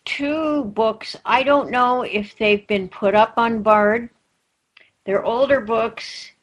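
A woman recommending books in a group discussion, deliberate and pausing between phrases. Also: fairly high pitch, low-quality recording.

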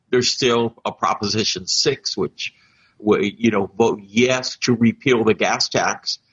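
A man's voice: fairly low pitch, a bit bright, slightly rough, no echo. A man moderating a book club discussion, plainspoken and steering the conversation along. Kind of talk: casual group discussion. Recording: muffled lo-fi audio.

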